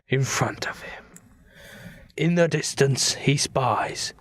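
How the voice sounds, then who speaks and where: A man narrating an improvised comic story as a podcast narrator, mock-serious and pausing for dramatic effect. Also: mid-range voice, dry recording.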